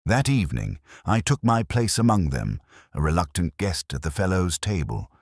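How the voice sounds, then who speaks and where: Male actor as melancholy inspector, rock-bottom pitch, expressive swings, scripted radio drama narration, measured, literary, weary. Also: breathy edge, no echo, clean studio sound, heavily acted. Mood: melancholy, pensive. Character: melancholy, weary, cultured English police inspector. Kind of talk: scripted first-person detective drama narration.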